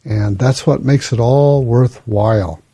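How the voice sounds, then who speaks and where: A man hosting his own podcast, talking in a relaxed, conversational way and reading listener emails aloud. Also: medium pitch, unhurried, slightly rough. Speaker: podcast host. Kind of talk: casual podcast talk.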